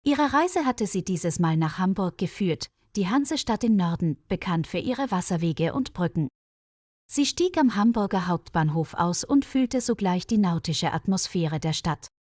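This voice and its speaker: A woman reading a scripted audio story for language learners in a measured, clearly phrased storytelling manner. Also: medium pitch, a little mellow, no echo, clean studio sound. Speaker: narrator of German-learning stories.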